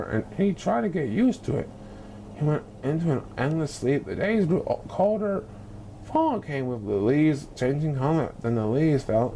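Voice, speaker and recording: A man reading a children's storybook expressively, with dramatic, deliberate phrasing. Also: a little high, dark tone, a little hiss or hum, rough recording. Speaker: story reader.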